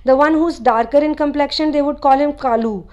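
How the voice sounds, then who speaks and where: A woman's voice: sits high, fairly quick, darkish tone, lo-fi sound. A Nepali-born woman, a spiritual channeler, giving a chatty, rambling talk to her viewers with many "you know" asides.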